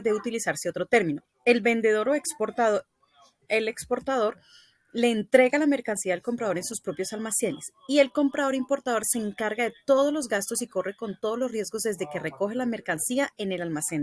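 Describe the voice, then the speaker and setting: A woman's voice: on the high side, brisk, no room sound. Woman reading a scripted lesson, dry sound. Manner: didactic, reading with occasional stumbles. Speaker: presenter explaining a lesson. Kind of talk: scripted explanatory narration, read aloud.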